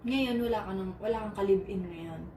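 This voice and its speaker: Woman interviewer, brief quiet questions; echoey distant recording with clear room noise. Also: mid-range voice, a little fast. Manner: short, soft questions. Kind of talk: personal interview.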